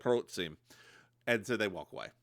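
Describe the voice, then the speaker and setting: A man of middle pitch with a lively, swooping voice, telling a story in casual podcast chat. He dips into quick character voices along the way. The sound is dry and close, with no room around it. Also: gravelly, dry recording. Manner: animated storyteller, slips into voices when quoting. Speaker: podcast co-host recapping an episode.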